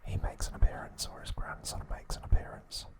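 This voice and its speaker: A man hosting ASMR trivia, speaking softly in short, unhurried phrases with gentle pauses. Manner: unhurried, soft, pausing between short phrases. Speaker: ASMR host. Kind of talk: whispered ASMR trivia narration.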